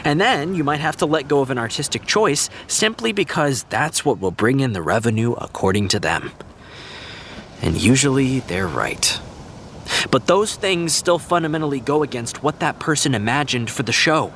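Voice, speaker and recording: An actor playing an easygoing, diplomatic TV writer who explains things in a reassuring, conversational way, in an audio drama. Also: male voice, mid-range voice, animated, moderate pace, fairly bright tone, a little hiss or hum. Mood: earnest explaining. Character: easygoing, diplomatic TV writer consoling a young fan. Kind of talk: naturalistic audio drama dialogue.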